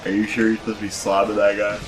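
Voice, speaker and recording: Very low-voiced young man gaming. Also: background noise. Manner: relaxed, rambling opinions with pauses to recall names. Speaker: let's play commentator. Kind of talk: casual gaming chat.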